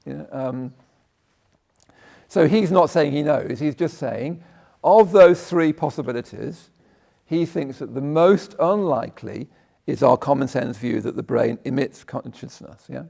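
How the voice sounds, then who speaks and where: A man with a croaky voice giving a conversational Buddhist talk, often checking in with "yeah?" and breaking off his sentences. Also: medium pitch, unhurried, warm tone. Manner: conversational, tag questions, self-interrupting. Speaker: Buddhist teacher. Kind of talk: dharma talk. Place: meditation hall.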